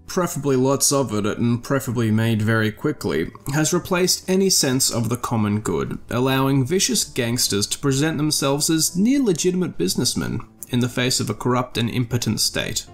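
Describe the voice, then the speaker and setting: Animated male podcast host. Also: medium pitch. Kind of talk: scripted narration then casual reading of listener comments.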